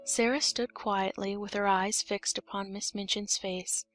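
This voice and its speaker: Woman narrating a storybook over soft music. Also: medium pitch, steady tone, a little slow. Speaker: audiobook narrator.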